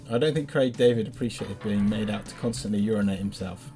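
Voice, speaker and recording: A man's voice: on the low side, quick pace, dark tone, faint music behind. A man giving casual commentary as he plays a game and hosts the playthrough. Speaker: gamer, show host.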